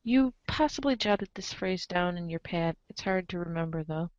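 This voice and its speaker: A woman narrating a comic story aloud in an expressive read-aloud style, on a slightly dull recording. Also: fairly low pitch, normal speed, dry recording. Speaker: narrator of a group webcomic reading.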